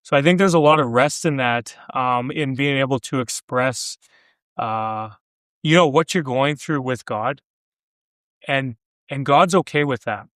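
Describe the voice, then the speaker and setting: A man's voice: medium pitch, a little slow, fairly bright tone, dry recording. A man hosting a casual podcast chat about faith, reflective and fond of listing examples. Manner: reflective, builds lists of examples. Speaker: podcast host.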